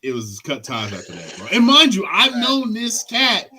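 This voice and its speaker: A man's voice: high voice, wildly swooping pitch, moderate pace, pushed and bright, a little hiss or hum. A man co-hosting a casual podcast chat, telling a story and calling everyone bro. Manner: storytelling, says bro a lot. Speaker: podcast co-host.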